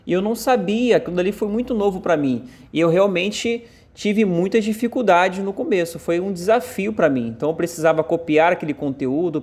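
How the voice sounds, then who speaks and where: A Brazilian man interpreting a deaf speaker's talk aloud in first person, in short halting phrases with frequent fillers. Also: high-pitched, darkish tone. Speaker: sign language voice interpreter.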